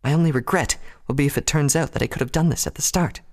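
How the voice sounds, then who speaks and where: A man voicing a gentle but resolute young pony princess in a fantasy story, earnest and formal. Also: a little high, fast, pitched above the performer's own voice, lightly acted. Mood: determined.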